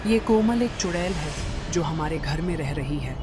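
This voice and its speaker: A woman's voice: low-pitched, animated, normal speed, background noise. A woman voicing a worried young wife in a cartoon, insistent and frightened about her new maid. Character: anxious young wife Shanti. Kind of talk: cartoon voice acting.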